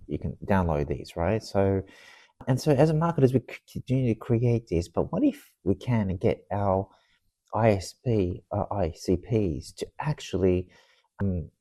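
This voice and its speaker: A man with a cold-roughened voice gives a casual, unscripted software walkthrough, rambling and often restarting his sentences. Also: low-pitched, no room sound. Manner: rambling, halting, restarts sentences and repeats words. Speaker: software demo presenter for marketers.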